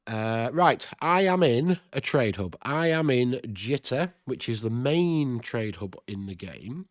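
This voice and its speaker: A man's voice: mid-range voice, lively intonation, takes it slowly, dry recording, clean studio sound. A man with a stuffed-up, nasal voice from a cold, hosting a chatty game tutorial and thinking aloud. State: congested with a cold. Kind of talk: casual screen-recorded game tutorial.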